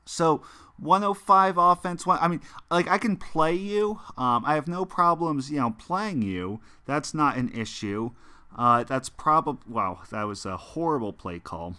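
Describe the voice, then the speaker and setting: Young us guy streaming a game, bright clear voice, rambly and full of ums. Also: on the high side, animated, measured pace. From United States. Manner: rambling, many fillers and restarts; chatty, talks to viewers while playing. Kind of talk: casual gameplay stream chat.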